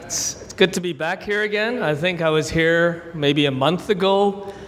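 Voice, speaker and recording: Man, guest preacher, slightly high voice, long hall reverb, earnest sermon storytelling. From Hong Kong, raised in Canada. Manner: earnest, gentle storytelling with brief pauses. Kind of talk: sermon with prayer and illustrations.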